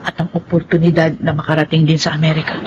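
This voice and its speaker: Dull-sounding actress as a wounded, proud young Filipina. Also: female voice, sits low, completely flat delivery, fast, background noise, lightly acted. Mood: firm, hurt. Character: Veron, wounded, proud, dignified young woman in love. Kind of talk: Filipino radio drama acting. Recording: dull radio audio under loud music.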